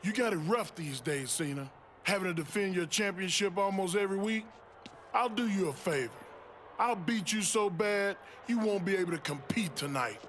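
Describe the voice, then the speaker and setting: A man's voice: sits high, animated, normal speed, fairly bright tone, a little hiss or hum. A man voicing a swaggering, menacing wrestler who taunts a rival in a video game cutscene. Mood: taunting menace.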